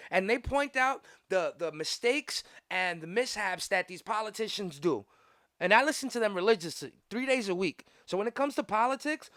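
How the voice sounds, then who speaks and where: A man's voice: sits high, a bit bright, dry recording, clean studio sound. An American man hosting a podcast, ranting casually about politics in an argumentative, emphatic way with heavy slang and swearing. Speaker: podcast host.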